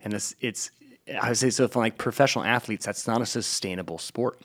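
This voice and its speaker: A man's voice: lowish voice, brisk, a bit bright. A man co-hosting a casual podcast, agreeing often and adding his own thoughts. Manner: agreeable, frequent short affirmations. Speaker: podcast co-host.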